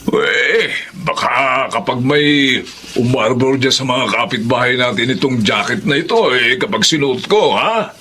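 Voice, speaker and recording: An actor with a Filipino accent playing a boastful man of the family in a radio drama, folksy and animated. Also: mid-range voice, a little slow, a little hiss or hum. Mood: pleased, boastful.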